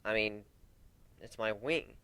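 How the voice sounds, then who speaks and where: A man's voice: fairly low pitch, takes it slowly, sharp tone, clean tone, no room sound. A man reading scripted first-person story narration in a dramatic, brooding manner.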